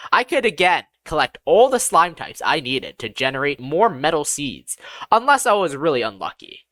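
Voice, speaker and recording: A woman's voice: sits low, fairly bright tone, dry recording. A woman reading a scripted first-person fantasy audiobook as its narrator.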